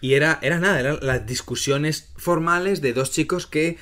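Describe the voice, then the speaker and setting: Spanish man hosting a relaxed podcast. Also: on the high side, moderate pace. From Spain. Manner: explains terms clearly, often asks "¿no?". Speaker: podcast host and Spanish teacher. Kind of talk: casual podcast conversation.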